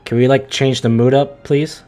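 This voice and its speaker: A man's voice: mid-range voice, fairly even intonation, moderate pace, dark tone, faint music behind. A man livestreaming a video game with casual, slangy, emphatic commentary, often stumbling and repeating himself.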